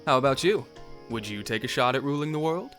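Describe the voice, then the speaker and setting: A man's voice: medium pitch, expressive, brisk, fairly bright tone, natural voice. A man plays a young crown prince in an audio drama, with a mid-range voice over prominent music. He is wry and playful, a bantering young man with grand ambitions. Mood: curious. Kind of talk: audio drama character acting.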